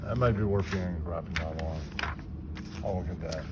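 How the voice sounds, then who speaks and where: A man's voice: sits low, a little fast, very noisy. A man muttering offhand, casual commentary, mumbling and sometimes swearing. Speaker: host of a homemade puppet show.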